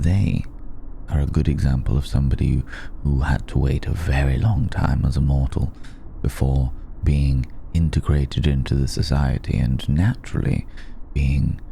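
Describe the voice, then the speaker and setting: A man playing a courteous, protective aristocratic vampire in an intimate ASMR roleplay, speaking formally and hesitantly with long pauses in a gravelly, dark voice. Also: rumbling low, slight background noise, exaggerated voice. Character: ancient aristocratic male vampire, courteous, protective, quietly amused.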